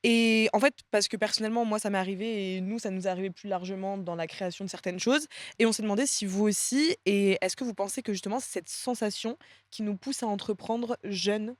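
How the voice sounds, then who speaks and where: A woman's voice: medium pitch, a little fast, no room sound. Woman host asking questions. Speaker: podcast host. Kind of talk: casual podcast chat.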